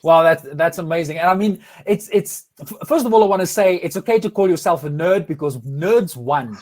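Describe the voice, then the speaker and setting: Afrikaans-accented male host in his thirties, high-pitched, lively, restarts often, video call sound. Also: moderate pace. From South Africa. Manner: energetic, many restarts and fillers. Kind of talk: casual interview over video call. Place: home room with curtains.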